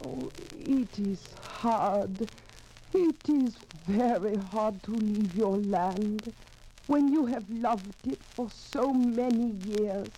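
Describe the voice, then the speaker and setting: An actress playing an immigrant wife who speaks slowly and mournfully, in 1940s radio style. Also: female voice, medium pitch, mellow, a little hiss or hum, poor audio. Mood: sorrowful. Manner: slow, mournful. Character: sorrowful aged immigrant wife.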